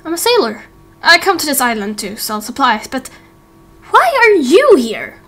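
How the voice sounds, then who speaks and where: A woman voicing an excitable, caring young sailor in a game roleplay, speaking earnestly and emphatically. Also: high voice, normal speed, exaggerated voice. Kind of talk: Minecraft roleplay voice acting.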